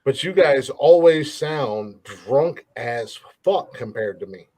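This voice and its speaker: A man's voice: medium pitch, expressive, slow pace, mellow. A man with an American accent hosting a casual chat show, blunt and given to swearing. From United States. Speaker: podcast host.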